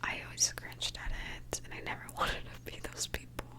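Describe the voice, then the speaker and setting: A young woman from Mexico, an ASMR creator, whispering a candid, chatty question-and-answer session. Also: moderate pace.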